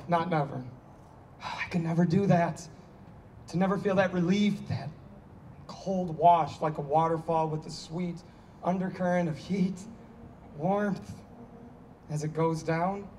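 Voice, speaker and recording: High-pitched man playing defensive problem drinker, agitated, emphatic, stage reading, distant outdoor mic. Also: fairly even intonation, slow, long pauses, a little mellow, slight background noise. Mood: anguished. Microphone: handheld microphone.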